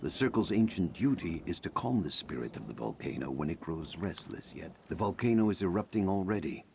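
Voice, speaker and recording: An actor playing a learned, courteous older druid in a fantasy video game, speaking in a formal, measured, concerned way. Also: male voice, animated, a little mellow, slight background noise. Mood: grave, explaining. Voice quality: deep, gravelly.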